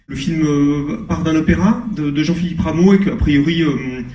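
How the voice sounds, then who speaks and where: A man's voice: on the high side, moderate pace, dark tone, far from the mic. A man speaking hesitantly onstage with a handheld microphone, searching for his words in front of an audience. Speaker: film director at a screening Q&A. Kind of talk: casual onstage talk to an audience. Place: large cinema hall, on stage. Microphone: handheld microphone over the hall PA. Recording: echoey hall heard from afar.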